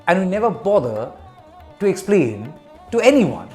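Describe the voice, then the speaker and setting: A man from Kolkata hosting a news commentary, speaking in a measured, emphatic way. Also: sits high, big pitch swings, a little mellow, soft background music. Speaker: host. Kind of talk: slow, deliberate commentary.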